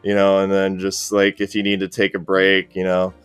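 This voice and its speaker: A man speaks in a low, smooth voice with very little room sound, over faint music. He talks in an easygoing, rambling way, like a guest on a casual podcast. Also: monotone, moderate pace, no room sound. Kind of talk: casual interview chat.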